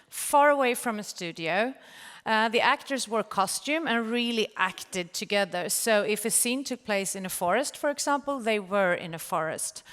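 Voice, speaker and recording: A woman's voice: medium pitch, a bit bright, clear, resonant tone. A woman giving a prepared conference presentation. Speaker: conference speaker. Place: large conference hall. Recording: reverberant hall.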